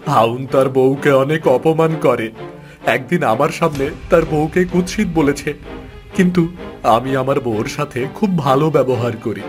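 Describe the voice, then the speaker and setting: A man's voice: mid-range voice, lightly acted. A man playing a frightened married man trapped in a cave, imploring dramatically in a fairy tale cartoon.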